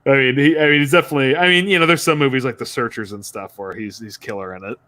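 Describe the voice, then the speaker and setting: A man's voice: medium pitch, fast talker, sharp tone. A man with a mid-range voice talks casually as a podcast co-host about films. He speaks conversationally and often breaks into chuckles mid-sentence. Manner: chuckles often while talking.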